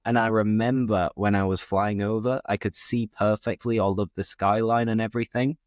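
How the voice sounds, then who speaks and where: Man, British accent, host, lower voice, curious, casual conversation, dry close recording. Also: steady tone, moderate pace, dry recording. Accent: British English. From England. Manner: curious, asks easygoing questions. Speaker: podcast host, English teacher.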